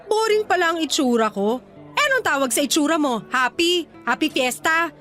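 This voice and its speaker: A proud estranged wife in a radio romance. Her high voice moves with lively pitch, assertive and sardonic, over faint music. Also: moderate pace. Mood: sarcastic. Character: sarcastic, proud young mother, the estranged wife. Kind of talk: Filipino radio romance drama acting.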